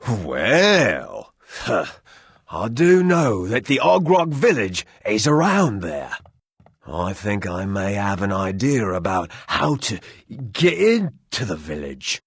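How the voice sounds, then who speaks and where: An actor playing a drunken, heartbroken townsman in a video game, husky and self-pitying, rambling with slurred hesitations. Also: fairly low pitch, wildly swooping pitch, slow, no echo, studio quality, heavily acted. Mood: amused, rambling. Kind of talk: video game character acting.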